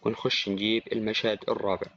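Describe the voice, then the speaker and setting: A man with an Egyptian accent giving an informal software tutorial, talking to the viewer like a friend. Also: on the low side, flat delivery, fast talker, creaky, no echo. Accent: Egyptian Arabic. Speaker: tutorial presenter. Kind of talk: casual screen-recorded software tutorial. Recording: dry, slightly dull, with clicking.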